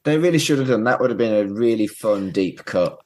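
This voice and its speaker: A man's voice: lowish voice, animated, brisk, a little mellow. A man in his forties hosting a casual podcast, talking in an emphatic, sweary way. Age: 40s. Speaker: podcast host.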